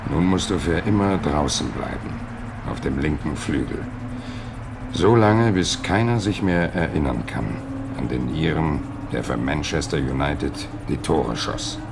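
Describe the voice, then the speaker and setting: An actor playing a somber man mourning the dead in a 1970s radio play, slow, solemn and gravelly, in loud noisy ambience. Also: a bass voice, expressive, exaggerated voice. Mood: mournful, solemn.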